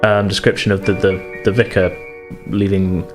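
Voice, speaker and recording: Male game master, fairly low-pitched. Improvises narration haltingly, correcting himself as he goes; faint music behind. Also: level tone, poor audio. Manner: hesitant, rambling, self-correcting.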